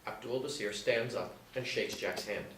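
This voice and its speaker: Echoey, lowish-pitched male narrator. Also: normal speed, fairly bright tone. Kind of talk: reading screenplay action lines. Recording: echoey room, distant mic, faint noise.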